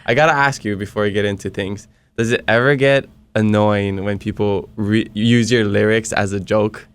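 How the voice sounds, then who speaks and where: A man's voice: lowish voice, steady tone, moderate pace, clear, resonant tone. A man from Hilo, Hawaii, whose first language is Hawaiian, hosting a casual podcast interview and laughing often. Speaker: podcast host.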